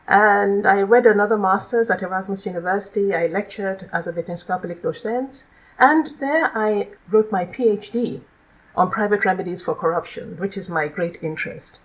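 A middle-aged woman with a Nigerian accent, a law lecturer explaining her work in a measured, articulate way as a podcast guest. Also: medium pitch, low-quality recording. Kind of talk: podcast interview.

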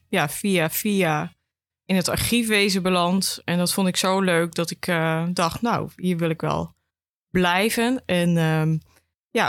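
A woman's voice: lowish voice, no echo, studio quality. A woman, speaking informally and keenly as an interview guest. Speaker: guest, archive education officer. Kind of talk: casual interview talk.

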